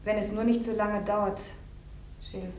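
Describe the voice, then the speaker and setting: A woman's voice: mid-range voice, steady tone, normal speed, a little hiss or hum, close to an everyday voice. An actress playing an anxious, trusting woman in a 1950s German radio play with roomy sound. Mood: anxious, pleading. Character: anxious, trusting woman leaning on her confidant.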